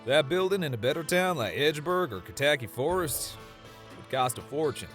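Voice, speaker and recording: An actor playing an easygoing but tough cowboy in an audiobook drama, with a folksy American Western drawl. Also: male voice, on the high side, animated, moderate pace, a bit bright. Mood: casual, explaining. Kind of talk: audiobook drama character acting. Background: music.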